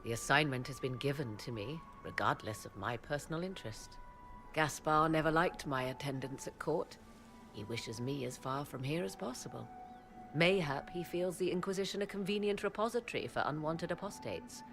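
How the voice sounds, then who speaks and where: A woman's voice: deep voice, animated, normal speed, over music. A woman voicing an aloof, sardonic apostate sorceress in a fantasy video game, with arch, theatrical, archaic phrasing. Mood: cool, detached.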